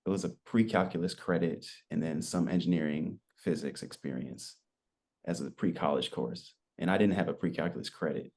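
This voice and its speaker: A man in his twenties telling his story as a podcast guest, relaxed and rambling, with lots of fillers. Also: deep voice, moderate pace. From United States. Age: 20s. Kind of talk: casual interview chat.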